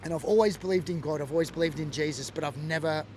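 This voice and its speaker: A man's voice: a little high, fast talker, a little hiss or hum. An Australian man from Sydney, telling a personal story in an earnest, emotional way with lots of fillers. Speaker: former biker sharing his story. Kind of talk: personal testimony monologue.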